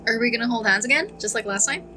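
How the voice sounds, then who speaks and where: A woman's voice: on the high side, very fast, pushed and bright. A woman streaming a game, playful and casual, commenting and reading dialogue choices aloud. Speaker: gaming streamer. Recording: game music behind the voice.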